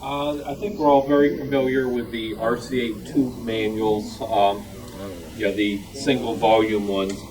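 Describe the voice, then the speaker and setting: A man giving a casual, halting and understated show-and-tell talk. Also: mid-range voice, steady tone. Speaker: club member at show and tell. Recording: distant mic, clear background noise.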